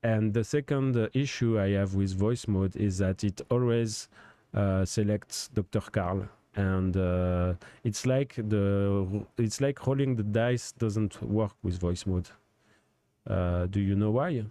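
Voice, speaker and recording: A man hosting a livestream, talking in a hesitant, halting way with many fillers. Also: fairly low pitch, warm tone, no echo. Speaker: host testing a chatbot. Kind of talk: casual livestream talk.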